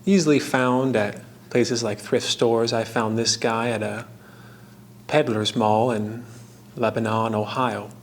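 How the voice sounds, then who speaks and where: A man narrating a hobby craft tutorial casually, sharing fun facts with jokey asides in short, halting phrases. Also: medium pitch, steady tone. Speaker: host of a hobby craft video. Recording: clear background noise, room ambience.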